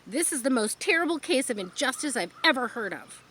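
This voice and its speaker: A woman's voice: high-pitched, sing-song, fairly quick, a bit bright, a little hiss or hum, pitched above the performer's own voice. A woman voicing a young girl who pleads and protests through tears to save a piglet. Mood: pleading. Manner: pleading, tearful and indignant.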